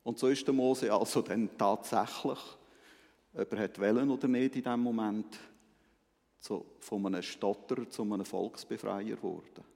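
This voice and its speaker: A man's voice: on the low side, fairly even intonation, normal speed, vocal fry, darkish tone. A man giving a conversational sermon as a preacher, explaining things and often checking in with short tag questions. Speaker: Methodist preacher. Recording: echoey hall with long reverb.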